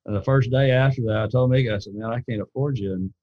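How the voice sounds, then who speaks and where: A man who leads a gun company, telling his story in a casual interview with a deep, hollow-sounding voice and a rambling way of talking full of restarts. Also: fast talker, no room sound, highs cut off.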